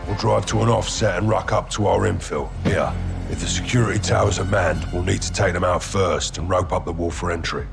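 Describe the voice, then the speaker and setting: A terse special forces lieutenant speaks calmly and commandingly in a low, gravelly voice. Game score plays beneath him. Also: male voice, a little fast. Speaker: squad lieutenant. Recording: music score behind.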